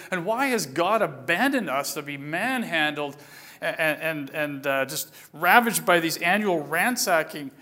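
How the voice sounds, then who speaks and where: A man's voice: high voice, bright, forceful tone. A man voicing an anxious, doubting young man who protests and stammers his questions.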